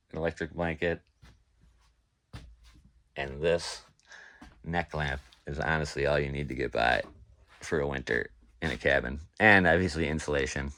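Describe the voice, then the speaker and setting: Very deep, nasal young man rambling. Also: fairly bright tone. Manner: rambling, laid-back, many fillers and restarts. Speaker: off-grid cabin vlogger. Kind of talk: casual talk to camera. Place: outdoors at an off-grid cabin property.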